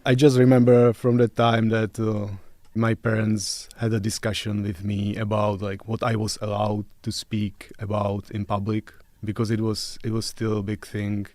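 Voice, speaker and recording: A middle-aged man from the Czech Republic speaks English with a Czech accent. His voice is low and flat in intonation, captured dry. He answers as a podcast guest, measured and polite. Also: dry recording. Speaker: podcast guest, special forces officer. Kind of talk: interview, recounting personal history.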